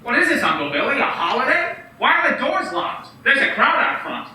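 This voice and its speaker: Seorang pria memerankan bankir muda kota kecil yang tulus dan mendesak, dengan nada memohon yang hidup. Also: male voice, extremely high pitch, a little fast, a little hiss or hum, heavily acted. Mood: puzzled. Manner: pleading, urgent, animated. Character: earnest young male small-town banker. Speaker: radio play actor. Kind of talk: community radio play acting. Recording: echoey room, far from the mic.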